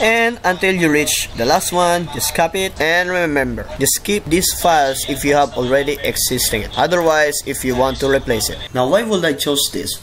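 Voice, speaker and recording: A man with a Filipino accent narrating a software tutorial in an informal way. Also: a little high. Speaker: tutorial presenter. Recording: clearly noisy home voice-over recording.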